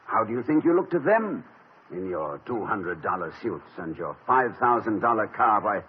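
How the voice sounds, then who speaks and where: An actor playing a genteel, pedantic middle-aged college president who hesitates and stammers, in 1950s radio comedy style. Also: male voice, on the low side, sing-song, normal speed, breathy edge. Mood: wry. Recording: dull lo-fi 1950 radio broadcast.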